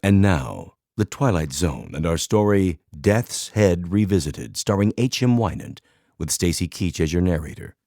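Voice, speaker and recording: A man's voice: sits low, animated, measured pace, warm tone, no echo. A man working as an announcer, reading a scripted introduction to a radio drama.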